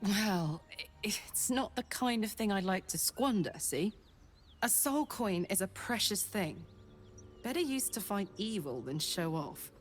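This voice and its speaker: A woman's voice: mid-range voice, expressive, unhurried, faint music behind, natural voice. A woman playing a fiery, brash warrior in a fantasy video game, with slangy, informal delivery. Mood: casual, eager. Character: fiery, brash female devil-hunted warrior with an engine heart. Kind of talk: dramatic fantasy game acting.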